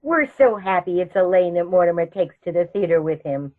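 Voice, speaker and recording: A woman's voice: fairly low pitch, animated, a little fast, narrow, tinny audio, natural voice. A woman playing a sweet, genteel, chatty elderly aunt in a radio comedy. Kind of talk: radio comedy theatre acting.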